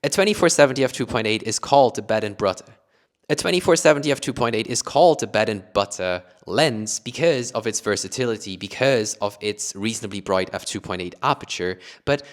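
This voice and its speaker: A man's voice: on the low side, lively intonation, a little fast. A young man from Luxembourg with an international accent shaped by British-taught English, explaining camera gear to his viewers and rephrasing as he goes. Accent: international mix, Luxembourgish with British-taught English. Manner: explanatory, rephrases with "let's say" and "additionally". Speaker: photography YouTuber. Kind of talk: talking to camera about gear.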